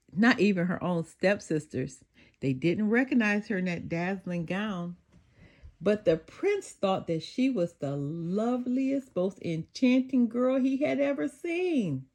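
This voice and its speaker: An older woman reading a children's storybook aloud, expressive and sing-song, with occasional small slips. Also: medium pitch. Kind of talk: children's read-aloud narration.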